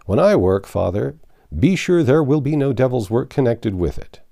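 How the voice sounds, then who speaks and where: A man's voice: mid-range voice, big pitch swings, normal speed, creaky, warm tone. A man reading a storybook, playing a confident, wry magician who reasons with polite self-assurance. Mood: firm, wry.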